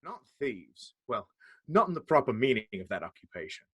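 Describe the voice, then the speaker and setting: Cheeky outlaw, raspy but bright, up and down pitch, radio play. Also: male voice, very animated, normal speed, dry recording. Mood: cheeky, justifying. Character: cheeky, quick-witted male merry outlaw.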